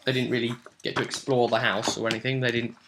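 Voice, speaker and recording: A man hosting as game master, casually recounting a role-playing session with emphatic, animated storytelling. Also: medium pitch, fast, background noise. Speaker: game master and vlog host.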